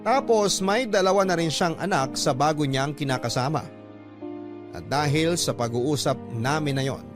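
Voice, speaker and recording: A man reading a listener's love-story letter aloud in first person, in earnest radio-drama narration. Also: a little high. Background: background music.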